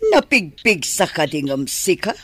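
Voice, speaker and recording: A woman's voice: on the low side, big pitch swings, a little slow, a bit bright, slightly rough, dry recording, full character voice. An actress playing a practical village woman who asks lots of questions in a radio drama. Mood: concerned. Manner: curious, questioning.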